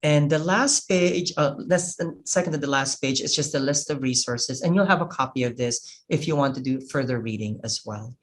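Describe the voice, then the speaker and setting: A workshop facilitator from the Philippines, whose first language is Tagalog, giving an earnest, measured webinar presentation over a video call while walking through slides. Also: mid-range voice, a little hiss or hum.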